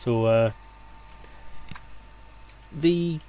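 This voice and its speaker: A man's voice: mid-range voice, drawn-out words, warm tone. A man hosting a casual live-stream interview over a voice call, speaking informally and a little hesitantly. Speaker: stream host.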